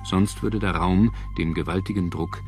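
Male documentary narrator, low register, scripted delivery, clear music bed underneath. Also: steady tone, normal speed. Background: background music.

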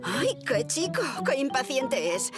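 Fussy, gushing cartoon mother: high, wildly swooping, unhurried, loud music behind. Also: big pitch swings, full character voice. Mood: fussing. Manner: fussy, exclamatory. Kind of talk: animated children's cartoon dub.

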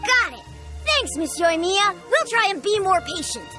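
A woman's voice: very high-pitched, very animated, normal speed. A woman voicing an eager, stubborn young village child in a video game. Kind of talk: video game character dialogue. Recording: music behind the voice.

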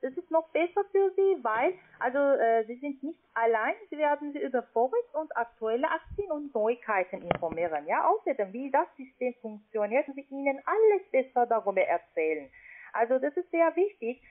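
A non-native woman with a foreign accent giving a halting phone sales pitch as a call-centre agent. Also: sing-song. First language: not German. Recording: thin, band-limited phone call.

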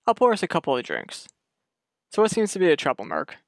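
A man's voice: high-pitched, wildly swooping pitch, fast, vocal fry, background noise, exaggerated voice. A man playing an earnest, uneasy suburban husband in a radio play, talking in a hesitant, conversational way. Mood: friendly.

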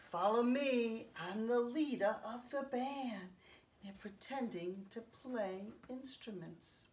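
A woman in her fifties reading a picture book aloud to young children, expressively, pausing to explain things simply. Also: medium pitch, a little hiss or hum. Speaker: children's story time host. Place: home living room, indoors.

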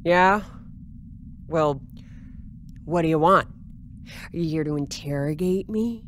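A woman's voice: low voice, slow, a little hiss or hum. A woman playing a blunt, hard-partying security officer in an audio drama, with a casual, unimpressed drawl. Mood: dismissive.

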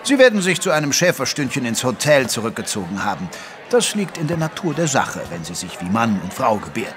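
Male narrator, medium pitch: genteel period doctor telling a mystery played by an actor, measured and literary. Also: very animated, noisy background, lightly acted. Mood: genial. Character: genteel Victorian doctor sidekick, good-natured man. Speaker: narrator and sidekick doctor in audio drama. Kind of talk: scripted narration, German audio drama.

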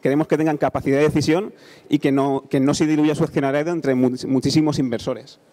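A man in his thirties with a Spanish accent speaks from a conference stage into a handheld mic. His voice is fairly high yet soft-edged and mellow. He explains things informally, often checking in with listeners through short tag questions. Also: flat delivery, quick pace. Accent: Spain. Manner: conversational, frequent check-in tags. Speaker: conference speaker, engineer presenting a startup accelerator. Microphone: handheld microphone.

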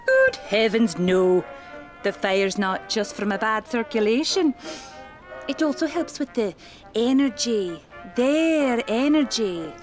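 A woman playing an elderly spirit medium in a period audio drama, prim and dryly teasing, speaking in a deliberate, proper way. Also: a little high, animated, soft background music. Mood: solemn.

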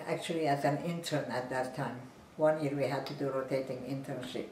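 A woman in her nineties from Iran, calmly telling her life story in a seated interview on a living room sofa. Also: extremely low pitch, slight background noise. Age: 90s. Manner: measured, plain storytelling, seated and still. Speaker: interviewee, physician. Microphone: camera at a distance. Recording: roomy, distant home recording.

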